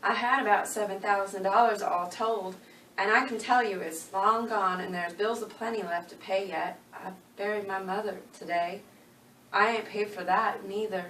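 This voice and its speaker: A woman's voice: mid-range voice, fairly even intonation, moderate pace, fairly bright tone, close to an everyday voice. Woman playing a grieving, defiant country widow. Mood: weary, bitter. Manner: folksy rural dialect grammar, pleading. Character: grieving, defiant, plain-spoken rural American widow. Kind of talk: dramatic reading of a novel. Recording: roomy, distant, faint background noise.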